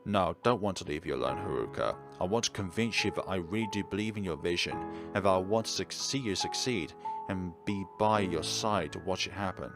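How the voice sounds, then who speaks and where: Man playing an anguished, low-voiced schoolboy. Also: a little fast, over music. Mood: pleading. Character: anguished, self-blaming teenage schoolboy, pleading and insecure.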